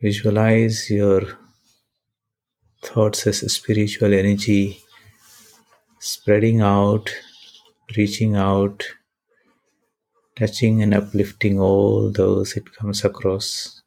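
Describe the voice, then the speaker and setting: A man leading a guided meditation and prayer in measured, deliberate short phrases with pauses between them. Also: fairly low pitch, monotone, gravelly, a little mellow, rough recording.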